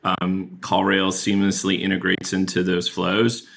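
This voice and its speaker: Man, low voice, gravelly, podcast guest, relaxed explaining, lots of fillers. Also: unhurried. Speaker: guest, chief product officer. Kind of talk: explaining a product in a podcast interview.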